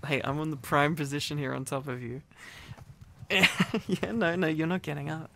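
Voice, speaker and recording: A man's voice: on the high side, fairly even intonation, normal speed, gravelly, very noisy. A man playing a needy, silly, affectionate boyfriend in an intimate ASMR roleplay, rambling and teasing playfully. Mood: giggly, playful.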